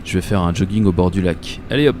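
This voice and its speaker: A man's voice: deep voice, fast, very noisy, close to an everyday voice. A man playing a dreamy, obsessive commuter who narrates a radio fiction in a colloquial, wry, confiding way. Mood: casual. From Paris, France. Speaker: narrator and protagonist. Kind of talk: first-person radio fiction monologue.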